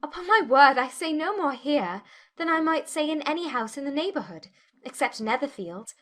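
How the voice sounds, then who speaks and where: A woman acting a high-pitched, spirited young gentlewoman. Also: lively intonation, moderate pace. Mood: indignant, frank. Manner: frank, emphatic, formal wording. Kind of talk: dramatized audiobook dialogue.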